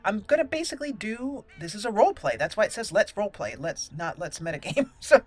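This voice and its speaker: A woman's voice: mid-range voice, animated, brisk. A woman hosting a gaming channel, giving casual, playful commentary on a roleplay game in a chatty way.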